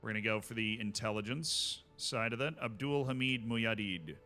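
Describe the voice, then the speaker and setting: A man's voice: on the low side, normal speed, bright, forceful tone, faint music behind. A man streaming a strategy game, chatting casually with wry asides to himself.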